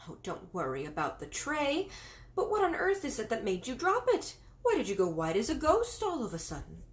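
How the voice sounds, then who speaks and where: A dignified, self-controlled queen with a refined, exclaiming voice that sweeps up and down, as a woman reading a storybook puts her on. Even when shaken, she keeps her composure. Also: on the high side, normal speed, voice pushed up. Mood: shocked. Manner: refined, exclaiming, later hushed.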